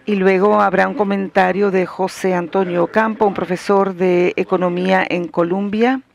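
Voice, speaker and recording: A man's voice: high voice, level tone, normal speed, gravelly, lo-fi sound. A man moderating a panel, giving a formal introduction and reading out the speakers' credentials with small hesitations. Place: university lecture hall.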